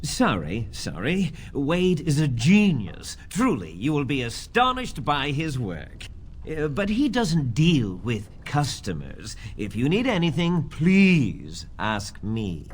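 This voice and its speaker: A man's voice: mid-range voice, wildly swooping pitch, slow pace, breathy edge, full character voice. A man playing an obsequious, fussy armor shop assistant in a video game, with a formal, fawning manner. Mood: apologetic.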